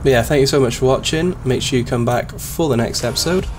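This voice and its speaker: A man with a slightly raspy voice and sore throat, calmly roleplaying a sheriff's deputy in an easygoing, polite way. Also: medium pitch, quick pace, music in the background. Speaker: streamer playing a sheriff's deputy. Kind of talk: casual roleplay chat.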